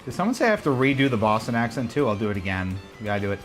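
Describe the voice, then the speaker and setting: A man chats and jokes his way through a kart race on a livestream, his pitch bouncing all over as he reacts. He talks at an even middle pitch, with faint game music playing under his voice. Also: racing. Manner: joking, chatty, reacts out loud to the race. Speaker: livestream gamer. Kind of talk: casual gameplay commentary.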